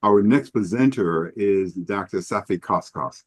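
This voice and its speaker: A man moderating an interfaith panel, introducing the next speaker in a halting way. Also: fairly low pitch, husky. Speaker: host and moderator.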